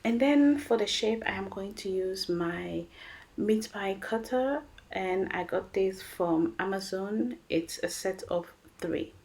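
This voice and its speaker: A woman's voice: medium pitch, slow, a little mellow. A woman with a French accent hosting a cooking channel, talking viewers through a recipe in a chatty, encouraging way. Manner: chatty, encouraging, addresses viewers as guys and friends. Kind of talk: recipe voice-over.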